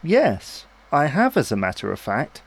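An actor playing a patient, reasonable husband in a domestic audio drama, with a gentle, reassuring, persuasive manner. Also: male voice, mid-range voice, huge pitch swings, unhurried, vocal fry, breathy edge, heavily acted. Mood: coaxing. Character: Harry, patient, reasonable husband and new father, coaxing.

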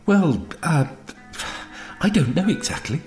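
Young storybook girl, read by a man: eager, earnest, raised pitch, very animated delivery. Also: slow, roomy sound, faint music behind. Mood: thoughtful, admiring.

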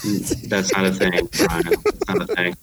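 A man from Queens, New York, with a New York accent, co-hosting a casual podcast. His voice sits a little low, and he talks in a sleepy, deadpan monotone with a dry, unhurried delivery. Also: a crowd in the background. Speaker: podcast co-host. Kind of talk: casual chat.

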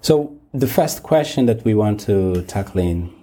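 A man hosting and moderating a panel discussion, speaking haltingly with many restarts. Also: on the low side, expressive, dark tone. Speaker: host and moderator.

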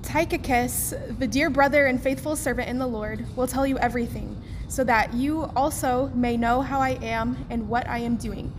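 A woman reading a scripture passage aloud in church, in a careful, measured way. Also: fairly high pitch, steady tone. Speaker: scripture reader. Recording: audible background noise.

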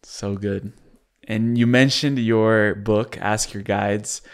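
A man with a fairly low, resonant voice hosts a podcast interview. He speaks conversationally, sounding earnest and appreciative, with a very clean tone. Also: fairly even intonation, slow pace. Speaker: podcast host.

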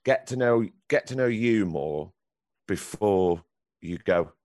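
A man with a very deep voice, a professional actor answering a youth theatre group's questions with candid, encouraging advice. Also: animated, slow, a little mellow, dry recording, studio quality. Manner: candid, encouraging advice with frequent ums and restarts.

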